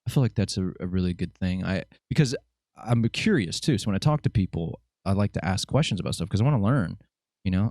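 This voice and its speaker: Male podcast host, chatty and jokey, lowish voice, dry close sound. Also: warm tone, no room sound. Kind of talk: casual interview chat.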